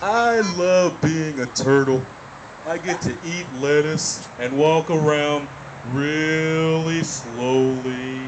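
A man's voice: fairly high pitch, takes it slowly. A man voicing a laid-back, contented turtle in a children's puppet show. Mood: easygoing. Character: slow, easygoing male turtle, content and unhurried. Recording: noisy outdoor amplified puppet show.

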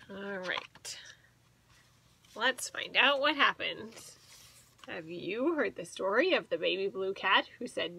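A woman in her thirties reading a storybook aloud to children as a teacher, expressive and asking the listeners questions. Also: mid-range voice, vocal fry, husky. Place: small indoor room with bookshelves.

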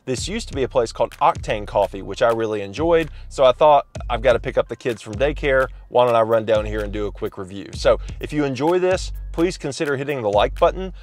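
Man, 30s, loud upbeat vlogger, phone at arm's length in a parked car. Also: medium pitch, animated, brisk, hardly any pauses, a little hiss or hum. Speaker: coffee shop reviewer. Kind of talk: casual vlog review. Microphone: phone camera at arm's length.

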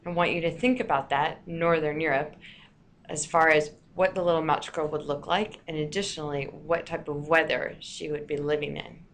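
A woman with a slightly low voice narrates a lesson in the way of a teacher. She speaks deliberately, stressing key words as a storyteller would. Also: steady tone, slight background noise. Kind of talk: scripted lesson narration for listeners.

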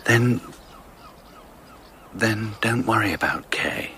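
A pleading husband in a radio play. His voice is deep yet bright and forceful, intimate and insistent, with faint noise behind it. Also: lively intonation, takes it slowly, heavily acted. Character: insistent, wistful husband wooing his ex-wife. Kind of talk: British radio drama acting.

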